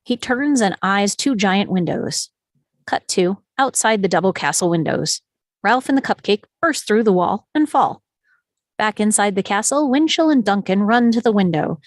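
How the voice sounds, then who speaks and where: A woman's voice: medium pitch, animated, normal speed, no echo. Script narrator: woman, mid-range voice, reading stage directions, very dry recording.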